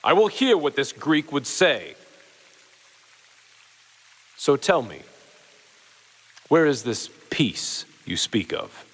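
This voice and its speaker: An actor playing a proud, commanding Roman officer who speaks formally and thoughtfully in a Bible audio drama. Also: male voice, mid-range voice, wildly swooping pitch, breathy edge, full character voice. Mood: curious, measured. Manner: formal, stately, measured.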